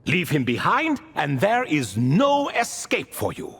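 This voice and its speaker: An actor voicing an ancient, riddling bound spirit in a video game, grandiose and wistful, with theatrical, old-fashioned musing phrasing. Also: male voice, a little high, huge pitch swings, unhurried, a bit bright, full character voice. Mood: musing, reminiscing. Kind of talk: video game cutscene acting.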